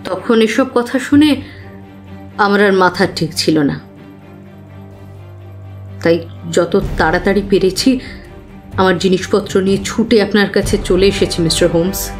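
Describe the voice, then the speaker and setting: A woman playing an anxious, earnest client of modest means in an audio drama, speaking deliberately. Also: medium pitch, faint music behind, lightly acted. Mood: distressed, earnest. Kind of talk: Bengali audio drama acting.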